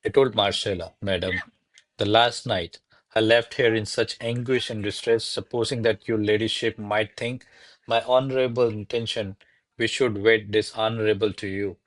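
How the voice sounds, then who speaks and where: A man's voice: on the low side, steady tone, moderate pace, dry recording, lightly acted. A man playing a courtly, ardent servant in a classical radio play, speaking in a formal, florid, deferential manner. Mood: earnest, pleading. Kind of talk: stage-style radio play acting of classical verse.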